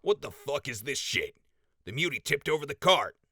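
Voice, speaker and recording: A man playing a gruff, bossy raider in an audio drama, barking orders and swearing. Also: mid-range voice, sing-song, moderate pace, sharp tone, a little hiss or hum. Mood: harsh, impatient. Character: gruff, bossy male raider crew leader. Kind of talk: post-apocalyptic audio drama acting.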